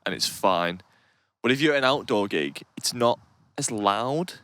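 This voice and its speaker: Chatty young man, lowish voice. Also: lively intonation, measured pace, a bit bright. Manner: rambling, laughs often, swears casually. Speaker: podcast co-host. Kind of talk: casual chat.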